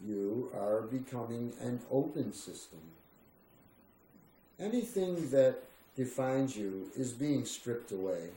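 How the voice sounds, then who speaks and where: A man giving a measured, solemn reading of a spiritual text, with deliberate pauses between short phrases. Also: mid-range voice, expressive, warm tone. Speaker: reader. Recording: roomy echo with faint background noise.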